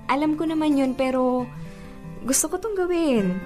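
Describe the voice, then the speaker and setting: A woman playing an affectionate, playfully insistent young girlfriend in a radio drama, expressive and coaxing. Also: sits high, normal speed, faint music behind. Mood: gently coaxing. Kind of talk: Filipino radio drama acting.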